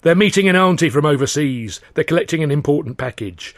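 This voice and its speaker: Brief: man putting on a gruff gatekeeper at the docks, wordy and condescending, pitch bouncing around, dry close sound. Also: animated, brisk, no room sound. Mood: knowing. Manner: long-winded, condescending, mock-formal. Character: gruff adult male dock gatekeeper, streetwise.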